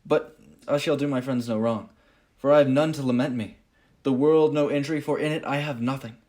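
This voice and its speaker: A man's voice: mid-range voice, fairly even intonation, brisk, darkish tone, close to an everyday voice. Man as earnest, proud young gallant. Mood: melancholy, resigned. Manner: formal, respectful. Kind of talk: Shakespearean radio play acting.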